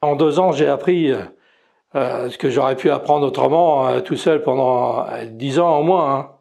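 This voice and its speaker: A man's voice: medium pitch, moderate pace, creaky, a little mellow. A retired man in his sixties from Belgium with a Belgian French accent, talking about his painting in a hesitant way, with many fillers and restarts. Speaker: amateur painter. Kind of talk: casual interview.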